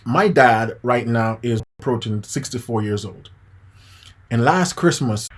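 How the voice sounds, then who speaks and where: A man's voice: mid-range voice, animated, unhurried. A man from Jamaica in his thirties, a piano teacher live-streaming a motivational lesson, talking casually and repeating his points. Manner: casual and preachy, addresses viewers as guys and man, repeats key points.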